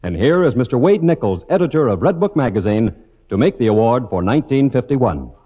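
Lively 1950s American radio announcer man. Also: medium pitch, a little fast. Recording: dull vintage radio recording, loud music behind. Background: music.

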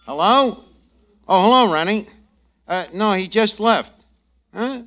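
A man's voice: high-pitched, animated, slow, muffled recording, noisy background. An actor playing a cowardly, scheming barman with a New York accent and stammering slang in a 1940s radio comedy. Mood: confiding. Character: scheming, cowardly wisecracking barman with mangled grammar. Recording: old 1940s radio broadcast.

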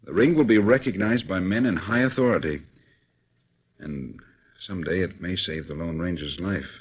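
An actor playing a calm, authoritative male government chief giving measured instructions in a 1940s radio drama. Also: deep voice, slightly rough. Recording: dull old radio recording.

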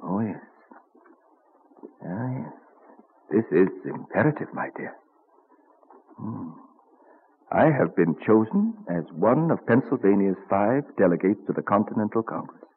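A man's voice: lowish voice, sing-song, slight background noise. An actor playing an elderly American statesman, weary and dryly witty, in an old radio drama style. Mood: musing. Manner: deliberate, musing, dryly witty. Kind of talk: 1940s radio acting. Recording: tinny old radio recording, music behind.

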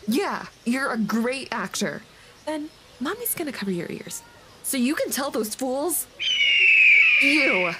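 A woman's voice: high-pitched, very animated, slow pace, heavy background noise, heavily acted. A woman playing a hardcore, no-nonsense, big-hearted single mother in a comedy audio drama, blunt and protective. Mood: indignant.

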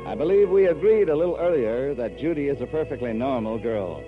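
Animated American man, old-time radio announcer. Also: medium pitch, fairly quick. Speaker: announcer and narrator. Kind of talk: 1940s radio announcing, scripted narration. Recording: dull old radio recording with music.

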